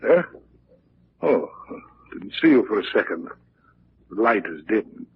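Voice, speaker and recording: A man's voice: fairly low pitch, very animated, unhurried. An actor playing a stubborn, wealthy father with a clipped, commanding manner in a 1940s radio drama. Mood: brusque, determined. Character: imperious wealthy father, stubborn and morbidly determined. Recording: dull, hissy 1940s radio recording.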